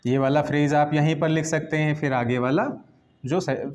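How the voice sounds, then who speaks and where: A man with a fairly high voice and an Indian accent speaks as a grammar teacher. He explains step by step, repeats key phrases and poses short questions before answering them. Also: steady tone, normal speed, a little mellow. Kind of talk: classroom lesson, explaining step by step in Hindi mixed with English terms.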